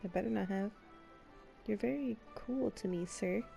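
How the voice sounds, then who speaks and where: A woman's voice: medium pitch, normal speed, creaky, mellow, soft background music. A young woman game streamer giving casual, playful, reactive live commentary.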